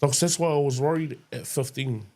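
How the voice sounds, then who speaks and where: Laid-back man, mellow and halting. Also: mid-range voice. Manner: halting, many fillers and restarts, says yo often. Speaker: podcast co-host. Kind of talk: casual chat.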